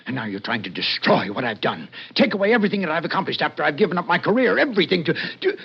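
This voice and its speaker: Agitated old professor, animated, vintage radio. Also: male voice, on the high side, vocal fry, husky, exaggerated voice. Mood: desperate. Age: older. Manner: agitated, defensive, halting, fussy. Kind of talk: 1940s radio drama acting. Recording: muffled old radio broadcast with hiss.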